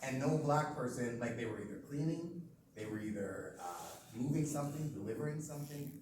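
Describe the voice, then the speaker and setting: A man from Canada speaks at a steady, deliberate pace, sometimes restarting his sentences as he lays out his point. He sounds distant from the mic in a very echoey room, with clear background noise. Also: medium pitch. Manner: measured, deliberate, with halting restarts. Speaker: panel participant. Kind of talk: discussion panel.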